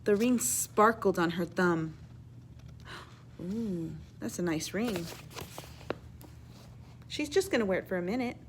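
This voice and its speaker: A woman reading a children's story aloud in an expressive, teacherly way, pausing to explain words and ask questions. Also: medium pitch, slight background noise. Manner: animated, emphatic reading with hushed asides.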